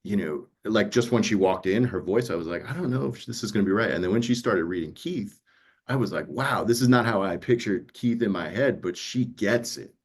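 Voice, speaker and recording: A man's voice: on the low side, animated, brisk, breathy edge. A man from New York, an audiobook producer, chatting casually and telling stories by acting out bits of dialogue. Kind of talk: casual panel conversation.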